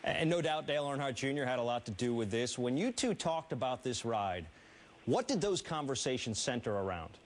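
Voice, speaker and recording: A man's voice: mid-range voice, lively intonation, fairly quick. A man hosting a sports news program, speaking in a polished presenter's delivery as he introduces and interviews guests. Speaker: sports news show host.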